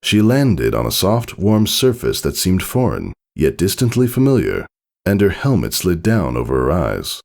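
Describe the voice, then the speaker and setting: A man's voice: deep voice, wildly swooping pitch, moderate pace, gravelly, darkish tone. A man reading scripted storybook narration as the narrator of a piece of fiction.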